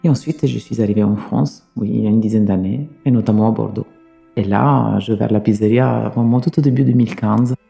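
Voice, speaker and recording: A man's voice: lowish voice, fairly quick, creaky, warm tone, soft background music. A man from Sicily with an Italian accent, a native Italian speaker, answering interview questions in a hesitant way with many fillers and restarts. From Sicily, Italy. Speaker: pizzaiolo guest.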